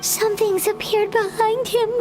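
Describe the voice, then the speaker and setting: A woman voicing a tiny, excitable fairy companion in a video game, with a squeaky, childlike voice. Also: moderate pace, over loud music, low-quality recording. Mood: alarmed, excited.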